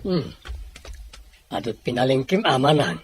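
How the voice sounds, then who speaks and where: A man's voice: mid-range voice, sing-song, unhurried, a little hiss or hum. A man playing a humble, helpful younger brother in an audio drama. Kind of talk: audio drama dialogue in Ilocano.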